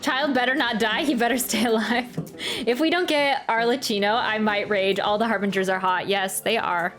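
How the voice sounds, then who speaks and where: A woman's voice: fairly high pitch, fairly even intonation, normal speed, a bit bright. A woman hosting a game reaction video, musing aloud in a playful, slangy, casual way. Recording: faint game music behind.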